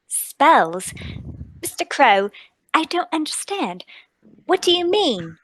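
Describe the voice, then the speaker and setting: A woman acting a kindly, alarmed lady, swooping pitch. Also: very animated, slow pace, full character voice, low-quality recording. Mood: soothing, puzzled. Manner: gentle, fussing, dramatic. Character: kindly, concerned young lady friend, easily alarmed. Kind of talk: dramatic radio-play table read.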